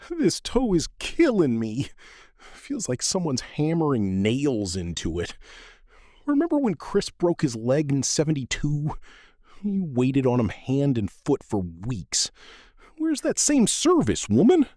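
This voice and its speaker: A man plays an older husband who whines and teases in a domestic comedy. His voice is a little high and swoops widely, and he drags his words out slowly in self-pity. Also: big pitch swings, mellow, no echo, studio quality, heavily acted. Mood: whiny, demanding. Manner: whiny, self-pitying. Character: whiny, teasing older husband with a sore toe.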